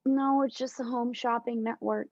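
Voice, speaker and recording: A woman acting a flustered, wounded woman with a cold in an amateur read-through of a romantic comedy, halting and hesitant. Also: on the high side, steady tone, a little mellow, close to an everyday voice. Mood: wary, defensive. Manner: halting, deliberate, with hesitant restarts. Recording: dull, roomy home recording.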